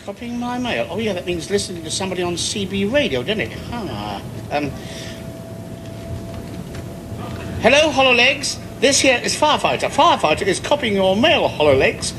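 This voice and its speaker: A man's voice: sits high, big pitch swings, fairly quick, hardly any pauses. A man with an English accent playing a bumbling suburban husband in a TV sitcom, hesitant and theatrically flustered. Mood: puzzled, flustered. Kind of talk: British TV sitcom acting. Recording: very noisy old TV recording.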